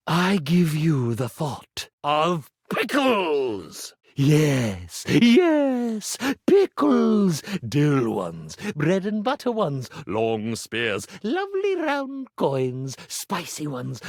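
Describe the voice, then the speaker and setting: A man's voice: a little high, dry recording, clean studio sound, full character voice. An actor voicing a vain male stage magician who is a living clothes iron in a video game, speaking in a grandiose, flamboyant showman's manner. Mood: hypnotic, then showy. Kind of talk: theatrical video game character acting.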